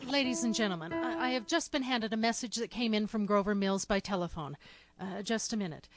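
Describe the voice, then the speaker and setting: A woman's voice: medium pitch, fairly quick, over music, natural voice. A woman playing a shaken studio news announcer in a 1930s radio drama, formal but hesitant. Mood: grave, flustered.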